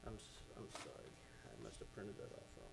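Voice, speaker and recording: A man's voice: a little fast, heavy background noise. A man preaching a sermon, fervent and exclaiming "oh my" and "amen" as he goes.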